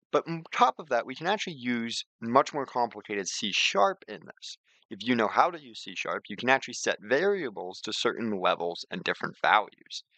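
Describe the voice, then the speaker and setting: A man presents an informal software tutorial in a fairly low voice with a bright, forceful edge. He talks conversationally and leans on repeated words to make his points. The sound is dry and close. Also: dry recording. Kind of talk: informal screen-share tutorial.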